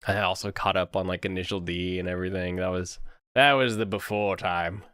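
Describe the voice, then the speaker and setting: Guy with a low smooth voice just rambling about anime like a streamer, jokey and animated. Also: a little fast, no echo, clean studio sound. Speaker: host of an anime review stream.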